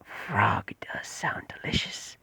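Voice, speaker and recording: A man voicing a sinister creature that lives in a boy's head, in a slow, menacing, breathy whisper with dark humor. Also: no echo. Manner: slow, menacing, drawn-out delivery. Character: sinister male creature voice inside a boy's head, darkly joking.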